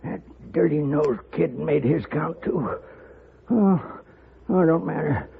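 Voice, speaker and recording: Creaky, stubborn old gunfighter. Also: male voice, a little high, measured pace, narrow, tinny audio. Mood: weary, fading. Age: older. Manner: folksy frontier drawl, crotchety. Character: stubborn, weary old Western gunfighter, dying on his feet. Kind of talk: 1950s radio Western acting. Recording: noisy, band-limited 1950s radio recording.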